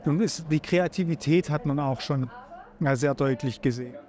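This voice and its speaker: A man with a somewhat raised pitch talks in halting phrases and keeps searching for the right word. He is a food journalist giving an interview for a documentary. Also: a crowd in the background.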